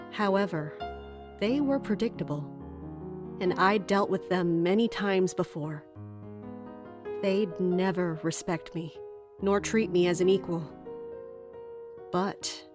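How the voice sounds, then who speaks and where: A woman's voice: medium pitch, natural voice. A woman playing a resolute, guarded guard captain, speaking formally and narrating in a fantasy audio drama. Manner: formal, measured, commanding.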